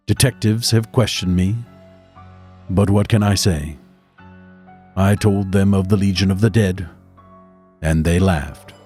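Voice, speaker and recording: An actor playing a grim, haunted survivor, narrating gothic horror audio drama in a grave, dramatic way. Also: male voice, low-pitched, darkish tone. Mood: haunted resignation. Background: faint music.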